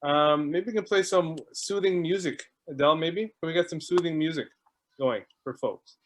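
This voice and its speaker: A man's voice: a little high, moderate pace, a little hiss or hum. A man hosting an online video call, chatting casually and greeting people one by one while he jokes about stalling. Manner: chatty, joking, warm greetings to each arrival. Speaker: host.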